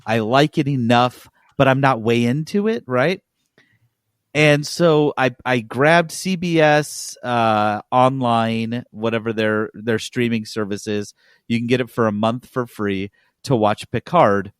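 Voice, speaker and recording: A man's voice: medium pitch, no room sound, studio quality. A man hosting a podcast in casual chat, speaking with enthusiasm and emphasis. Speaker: podcast host.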